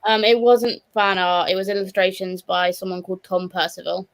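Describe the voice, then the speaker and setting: A woman's voice: medium pitch, flat delivery, moderate pace. A woman casually discussing books, with a tentative, hedging way of talking. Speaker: readalong panelist.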